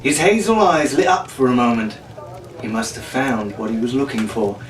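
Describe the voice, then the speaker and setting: A man's voice: medium pitch, normal speed. A man narrating an adventure story in a dramatic, scripted audiobook style. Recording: roomy, distant mic, faint noise.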